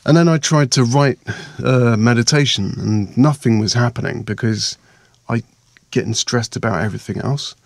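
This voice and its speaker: A man with a hoarse, croaky voice from a sore throat, narrating his vlog in a chatty, rambling, self-mocking way. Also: medium pitch, normal speed, dry recording, clean studio sound. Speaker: vlogger and meditation maker.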